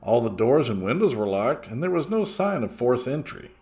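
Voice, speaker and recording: Guy reading a true scary story, pitch moves around a lot, medium voice. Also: big pitch swings, moderate pace, warm tone. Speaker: narrator reading a listener's true ghost story. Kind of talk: scripted first-person storytelling narration.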